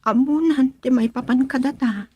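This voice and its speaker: A woman's voice: sits high, moderate pace, darkish tone, husky, dry recording. A woman acting a devoted, defiant young daughter in love in a radio drama, emotional and pleading, with a Filipino accent. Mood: worried, tender.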